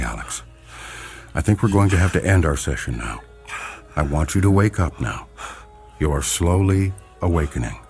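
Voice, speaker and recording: An actor playing a hypnotist doctor in a radio drama, formal and measured, soothing with patients yet protective of his dignity. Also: a bass voice, gravelly, darkish tone, full character voice.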